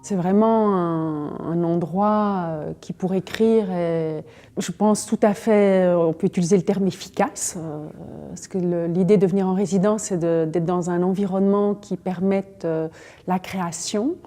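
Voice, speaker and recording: A woman's voice: fairly low pitch, fairly even intonation, unhurried, mellow. A woman from Belgium, a poet speaking reflectively in an interview and searching for words with fillers.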